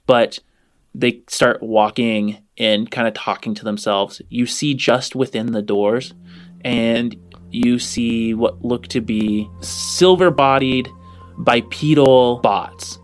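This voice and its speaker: A man narrating as game master of a sci-fi tabletop game, improvising descriptions in deliberate, drawn-out phrases. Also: medium pitch, steady tone, soft background music.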